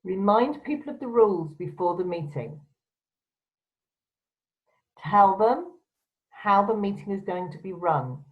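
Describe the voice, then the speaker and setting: A woman's voice: mid-range voice, lively intonation, measured pace, long pauses. A woman presenter giving instructional advice to camera in a firm, direct, emphatic way. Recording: dull, lo-fi sound.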